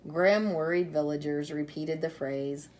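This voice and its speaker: A woman storyteller who reads a children's picture book aloud expressively, with dramatic pauses. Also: deep voice, a little mellow, a little hiss or hum. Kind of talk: expressive read-aloud storytelling with casual introduction.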